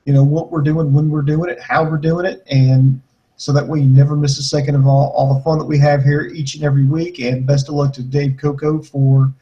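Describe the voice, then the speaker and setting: A man's voice: on the high side, little pitch movement, brisk, warm tone, highs cut off. A man co-hosting a wrestling podcast in casual chat, answering listener questions in a blunt, joking way. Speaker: podcast co-host.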